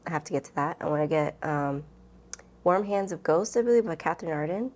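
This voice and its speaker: Low-voiced woman, rambling casual vlogger. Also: expressive, brisk, darkish tone, slight background noise. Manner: rambling, self-interrupting, giggly. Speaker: book vlogger. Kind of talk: casual chat to camera, book tag.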